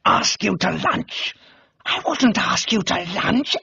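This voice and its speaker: Man acting a furious, raspy, blustering country gentleman. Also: high voice, sing-song, takes it slowly, muffled recording, pitched above the performer's own voice, full character voice. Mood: incredulous fury. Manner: spluttering, explosive, accusatory. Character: furious purple-faced blustering middle-aged country gentleman. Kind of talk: radio comedy reading.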